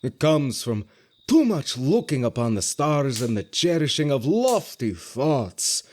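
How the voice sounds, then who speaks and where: An actor playing a stern, pragmatic sceptic in an audio drama, dismissive and lecturing in formal, solemn phrases. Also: male voice, medium pitch, very animated, measured pace, a little mellow.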